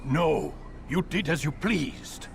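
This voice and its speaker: An actor playing a stern, imperious old master of assassins in a video game, speaking formally and with command. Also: male voice, fairly high pitch, lively intonation, slow, creaky, slight background noise, full character voice. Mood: stern, scolding. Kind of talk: dramatic video game acting.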